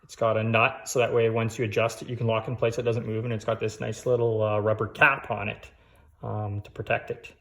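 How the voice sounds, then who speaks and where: A man's voice: lowish voice, flat delivery, brisk, fairly bright tone. A man giving a casual, hands-on how-to walkthrough as a vlogger, in an informal way with conversational asides.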